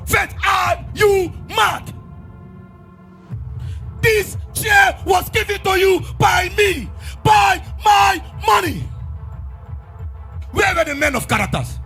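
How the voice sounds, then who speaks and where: An actor playing an excitable aide who laughs and shouts in bursts. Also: male voice, extremely high pitch, slow, gravelly, long pauses, soft background music, heavily acted. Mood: furious. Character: excitable loyal male aide, later furious.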